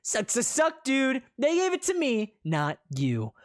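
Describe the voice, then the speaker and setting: A man's voice: very high-pitched, wildly swooping pitch, measured pace, no room sound, clean studio sound, pitched above the performer's own voice, full character voice. A storyteller voicing a cheeky, boastful young male commoner hero who teases his rival. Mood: cocky.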